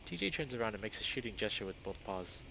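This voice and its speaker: A man's voice: on the low side, big pitch swings, quick pace, creaky. A man live-streaming a visual novel, reading it aloud and chatting casually with viewers. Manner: chatty, greets viewers by name. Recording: dull lo-fi microphone, faint noise.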